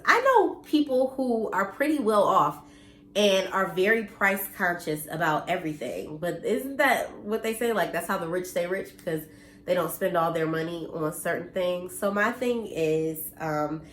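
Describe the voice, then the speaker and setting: Vlogger, woman, 30s, a bit nasal and stuffed up, blunt and preachy, lowish voice, roomy echo. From United States. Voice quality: slightly nasal, congested. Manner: earnest and blunt, mildly preachy, occasional cursing. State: congested from allergies. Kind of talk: casual direct-to-camera talk. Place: her home, indoors.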